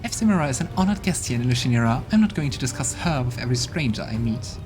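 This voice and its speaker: A man's voice: mid-range voice, animated, fast talker, natural voice. A man voicing an arrogant, haughty female demon who talks with contempt, over game music.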